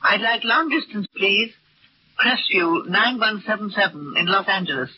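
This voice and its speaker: A woman's voice: mid-range voice, expressive, moderate pace, a little hiss or hum, natural voice. An actress playing a genteel, anxious older small-town woman in a 1940s radio drama, polite and hesitant. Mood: nervous politeness. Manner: polite, hesitant, letter-like storytelling. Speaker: narrator and lead actress. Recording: muffled vintage radio recording with music.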